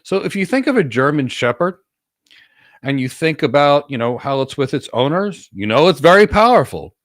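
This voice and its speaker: A man's voice: fairly high pitch, sing-song, moderate pace, no echo, clean studio sound. A man coaching in an informal Q&A, explaining in an assertive, emphatic way and repeating key words for stress. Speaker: career coach and host answering audience questions.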